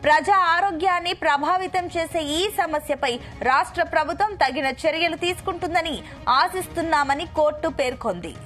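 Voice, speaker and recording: A woman presents the news over a light music bed. Her delivery is scripted, formal and measured, as a studio bulletin reader would give it. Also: extremely high pitch.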